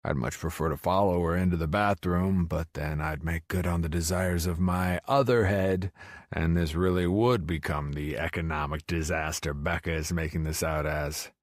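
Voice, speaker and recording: Male audiobook narrator, low register, first-person romance, dry recording. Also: fairly even intonation, normal speed, dry recording.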